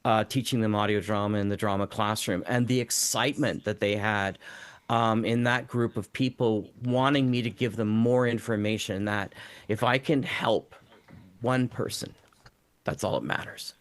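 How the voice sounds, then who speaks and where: A man's voice: lowish voice, steady tone, moderate pace. A man who teaches drama, speaking reflectively and informally in a panel discussion.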